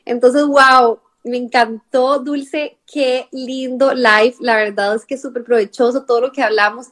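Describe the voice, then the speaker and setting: A woman with a Costa Rican accent hosts a relaxed conversation over a remote live call. She speaks in a slightly high voice, chatty and warm in rhythm, with frequent fillers and tag questions. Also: unhurried. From Costa Rica. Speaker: podcast host. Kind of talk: casual conversational interview.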